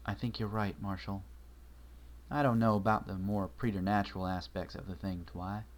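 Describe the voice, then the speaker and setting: A man's voice: on the low side, flat delivery, moderate pace, natural voice. A man voicing an earnest, anxious pony mare. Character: anxious, bookish young unicorn mare.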